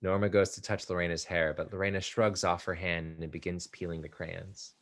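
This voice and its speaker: A man serves as narrator for a scripted play reading. His voice sits very low as he reads out the stage directions. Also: level tone, fairly quick, fairly bright tone.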